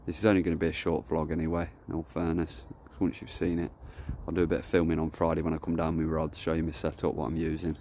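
A deep-voiced man talks in a loose, rambling way while walking a lakeside with his phone. His speech wanders and restarts, with a faint hiss of outdoor wind behind him. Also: monotone, fast, hardly any pauses, darkish tone. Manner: rambling, chatty, self-correcting. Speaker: carp angler vlogger. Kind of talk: casual walk-and-talk vlog. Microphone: phone camera held while walking.

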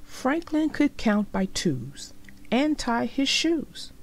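Animated woman reading storybooks, unhurried. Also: high-pitched. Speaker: narrator of children's read-aloud channel. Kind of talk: storybook read-aloud for young children.

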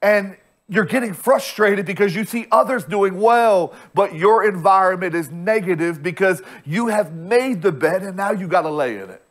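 A man's voice: sits high, normal speed. A man in his thirties preaching a sermon as pastor from a church stage, repeating his points with emphasis and frequent restarts. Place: church stage with bright screen backdrop.

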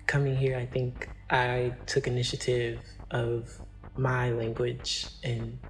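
A young dancer from Chicago speaking in a casual, rambling way about becoming an artist. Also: male voice, medium pitch, completely flat delivery, slow. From Chicago, Illinois. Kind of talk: casual interview talk.

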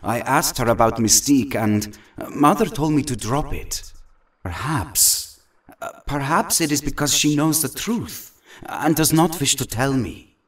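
A man's voice: medium pitch, animated, a little slow, distant mic, lightly acted. A man playing an earnest, troubled young mutant hero in an audio drama. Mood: troubled, hesitant.

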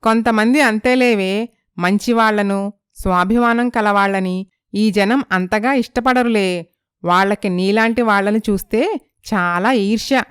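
Female actor doing a caring best friend in a cartoon story, gentle persuader, smooth clean voice, no echo. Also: mid-range voice, a little slow, studio quality, natural voice. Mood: supportive. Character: caring, sympathetic young female friend and confidante. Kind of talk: animated moral story dialogue.

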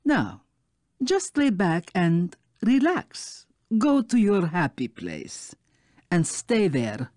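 An actress playing a condescending, menacing spider villainess in a video game, with a silky manner. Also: female voice, fairly low pitch, sing-song, slow pace, a little mellow, no echo, clean studio sound. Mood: coaxing menace. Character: sinister, condescending spider villainess, a secretly corrupt Interpol official.

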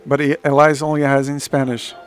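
Hoarse middle-aged man leading church. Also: mid-range voice, little pitch movement, a little fast. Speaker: pastor leading a church service. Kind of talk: addressing a congregation and reading scripture aloud. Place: small church room, indoors, at a lectern.

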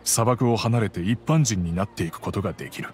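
Man playing a low-voiced, fatherly gentleman. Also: lightly acted. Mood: earnest, fatherly. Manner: measured, gentle, reflective. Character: middle-aged wealthy master of a house, fatherly, composed, remorseful. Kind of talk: anime game voice acting. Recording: soft music behind the voice.

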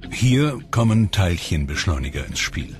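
A man giving calm, measured, scripted narration for a science documentary. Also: low-pitched. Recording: music bed under voice.

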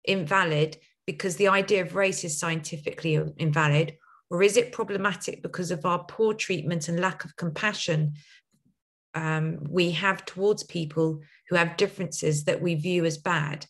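A woman with a fairly low voice moderates an online talk. She reads an audience question aloud politely, with a few hesitant fillers. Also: fairly even intonation, normal speed. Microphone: video call.

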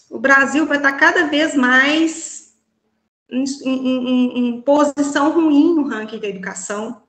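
A woman's voice: on the high side, a bit bright, echoey room. A woman in her thirties with a Minas Gerais Brazilian accent, giving indignant opinion commentary as a panelist over a video call from a small room. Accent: Brazilian Portuguese, Minas Gerais. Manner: indignant, rhetorical questions, many fillers like "então, assim". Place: small indoor room with a plain wall. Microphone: laptop webcam mic on a video call.

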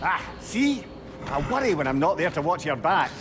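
A man's voice: fairly high pitch, very animated, normal speed, background noise. An actor playing a chatty, wry, sentimental male companion in a video game, telling stories in a wordy, teasing way. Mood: curious. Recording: loud game ambience behind.

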